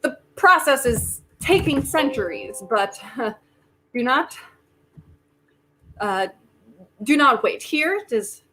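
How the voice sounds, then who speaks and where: Slow, deliberate woman acting as wry inventor. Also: high-pitched, lively intonation, bright, forceful tone, slight background noise, full character voice, low-quality recording. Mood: gentle insistence. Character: Dakota, wry determined woman inventor reshaping the multiverse. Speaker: actor in a community theater reading. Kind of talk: virtual staged play reading.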